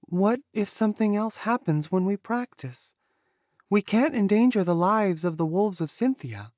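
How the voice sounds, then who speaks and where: Actress as slow-spoken, anxious young werewolf woman. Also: mid-range voice, expressive, darkish tone, no echo, dull audio, lightly acted. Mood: worried. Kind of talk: dramatized audiobook dialogue.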